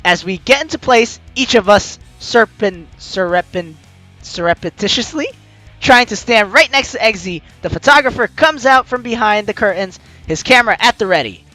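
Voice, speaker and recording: A man playing a visual novel, reading the text aloud with excitable, joking reactions and the odd stumble, over music. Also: sits high, normal speed. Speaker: let's player. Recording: music behind the voice.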